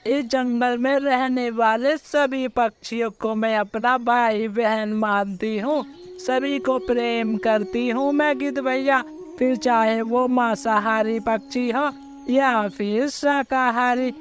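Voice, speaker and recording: A man plays a sweet little lady bird from a children's cartoon story. The voice is very high and speaks slowly, friendly and chatty, with a pleased, sisterly manner. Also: steady tone. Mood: cheerful, chatty.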